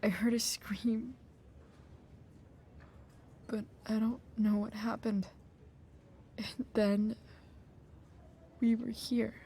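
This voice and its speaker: A woman playing a guarded, shaken teenage girl in a video game, halting and subdued. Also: fairly high pitch, little pitch movement, warm tone, slight background noise. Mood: shaken, guilty. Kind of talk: naturalistic video game acting.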